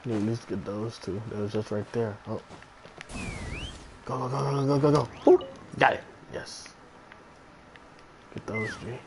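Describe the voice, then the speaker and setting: Creaky-voiced man streaming a game. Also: lowish voice, fairly even intonation, measured pace, pauses often. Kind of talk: casual live gameplay commentary. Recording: livestream with clear background game noise.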